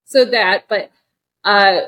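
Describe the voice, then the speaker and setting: A woman's voice: medium pitch, slow pace, sharp tone. A woman of forty, a guest on a podcast, talking candidly as an actor and director, searching for words with many fillers and restarts. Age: 40s.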